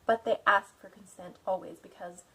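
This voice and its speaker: A woman's voice: medium pitch, fairly even intonation, normal speed, vocal fry, sharp tone, poor audio. A woman hosting a video who reads a novel dramatically and breaks off for chatty asides full of fillers. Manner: chatty asides with fillers, theatrical when reading. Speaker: video host and reader. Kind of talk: casual commentary and dramatic reading.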